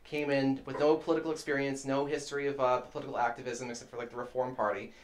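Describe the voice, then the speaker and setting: Male panel guest, fast, blunt and wry. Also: medium pitch, little pitch movement. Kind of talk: casual political discussion.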